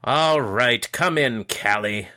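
A man's voice: medium pitch, takes it slowly, pushed and bright, voice pushed up. A man voicing a grumpy, dismissive old male wizard shopkeeper who grumbles his lines. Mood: irritated. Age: older. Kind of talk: audiobook character voice.

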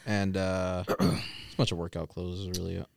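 A man's voice: low voice, little pitch movement, moderate pace, gravelly, no echo, studio quality. A man in casual podcast chat, laconic, with wry short interjections. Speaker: podcast panelist.

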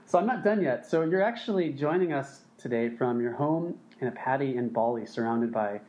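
Host: man, mid pitch, slightly muffled audio, friendly and curious interviewer. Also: animated, moderate pace, darkish tone. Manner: friendly, asks questions, laughs easily. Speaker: podcast host. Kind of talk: casual interview hosting.

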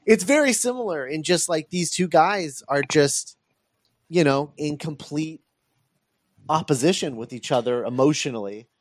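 A man co-hosting a film podcast in casual chat, thinking aloud in a halting way. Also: on the high side, lively intonation, dry recording. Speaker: podcast co-host.

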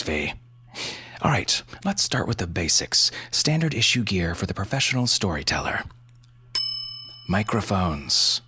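A male podcast host delivers a scripted, tongue-in-cheek explainer. His voice is a bit low, with a sardonic, mock-solemn deadpan. Music plays behind him.

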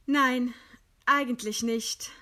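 Proud, high-voiced condemned woman writer. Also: steady tone, bright, forceful tone. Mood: calm irony. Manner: eloquent, measured, scornful. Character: condemned dissident woman writer, widowed, proud, defiant, composed. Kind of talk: German radio play acting.

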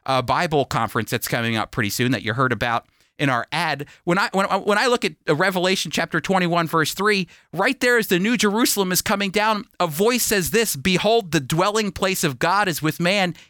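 A man's voice: high-pitched, a little fast, bright, forceful tone, dry recording, clean studio sound. A man preaching a sermon and reading scripture, stressing and repeating key phrases and posing rhetorical questions. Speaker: preacher and Bible teacher on a radio program.

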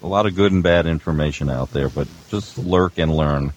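A man's voice: extremely low pitch, moderate pace, muffled recording, slight background noise. A middle-aged man chatting casually and giving tips as a roundtable guest. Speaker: audio producer, guest panelist.

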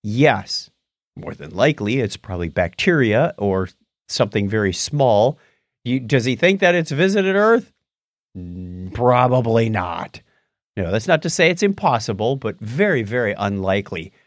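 A man's voice: mid-range voice, very animated, normal speed, no echo, clean studio sound. A man hosting a podcast, chatting casually through news items and explaining them in a wry, jokey way. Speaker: podcast host. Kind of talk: casual news chat with banter.

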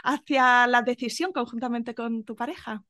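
Woman host with a slightly high voice. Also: steady tone, normal speed. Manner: curious, gentle questioning. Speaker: podcast host. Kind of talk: casual interview questions.

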